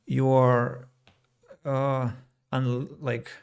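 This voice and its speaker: A man's voice: medium pitch, completely flat delivery, extremely slow, creaky, a little mellow, smooth. A man from Russia, an English teacher talking through his thoughts on a solo podcast, reading out comments and restarting phrases as he thinks. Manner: reflective, reads listener comments aloud, frequent fillers and restarts.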